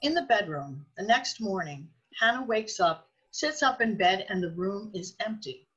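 A woman's voice: medium pitch, lively intonation, normal speed, fairly bright tone, slightly rough, dull audio. A woman narrating a scripted radio drama.

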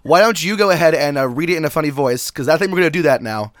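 A man's voice: a little high, lively intonation, fast talker, a bit bright, no echo. Stuffy-nosed man podcast co-host, dry sound. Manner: joking, mock-hype banter. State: stuffy from a cold. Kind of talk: casual comedic chat.

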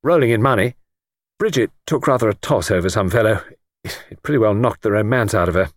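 A man voicing a brisk, confident, chummy male friend in audiobook dialogue, breezy, slangy and emphatic. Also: lowish voice, expressive, no room sound, studio quality, lightly acted. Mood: breezy, gossipy.

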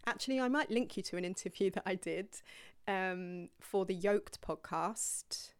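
A young woman yoga teacher telling her own story to viewers in a rambling, candid, casual way, dropping to breathy whispered asides now and then. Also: medium pitch, moderate pace, no room sound.

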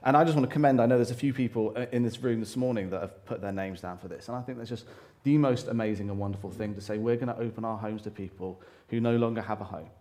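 A man with a loud voice preaching a sermon to a congregation, talking earnestly with frequent pauses and restarts. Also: lowish voice. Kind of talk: church sermon. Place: church hall, live congregation. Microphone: handheld or stand microphone.

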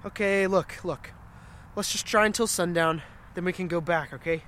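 A man's voice: high voice, moderate pace, fairly bright tone, slight background noise. Man acting an earnest young man, lightish voice. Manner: hesitant, repetitive, anxiously reassuring. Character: Griffin, earnest, uneasy young son reassuring his friend. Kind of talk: naturalistic audio drama acting.

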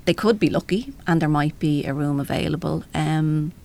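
A woman's voice: sits low, moderate pace, gravelly, dark tone. An Irish woman explaining things as a helpline representative in a panel discussion, often adding "you know".

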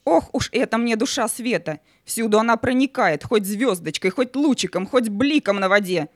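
A woman's voice: on the high side, lively intonation, moderate pace, no echo, clean studio sound, natural voice. A woman playing the stern, majestic spirit of Night in a fairy-tale audio play, with a haughty, imperious manner. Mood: guarded, irritated.

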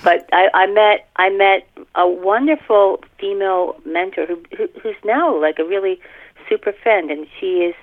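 A woman's voice: mid-range voice, normal speed. A woman chatting casually as an interview guest, exclamatory and colloquial, repeating phrases for emphasis. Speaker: guest author. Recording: muffled phone or radio-like line.

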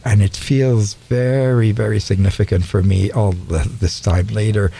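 Gravelly low-voiced man hosting a radio show. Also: fairly even intonation, a little slow, mellow, a little hiss or hum. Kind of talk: radio interview. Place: radio studio.

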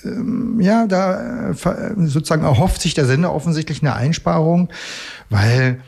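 Husky guy on a radio chat, explains stuff, pauses n repeats himself a bit. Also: on the high side, expressive, darkish tone. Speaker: radio expert, studio guest. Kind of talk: casual explanatory radio conversation.

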